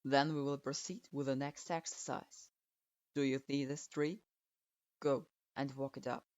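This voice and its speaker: A woman's voice: extremely low pitch, fairly even intonation, unhurried, darkish tone, dry recording, pitched below the performer's own voice. A woman voicing a stern, cold male ninja teacher who gives curt, clipped commands. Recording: dull lo-fi.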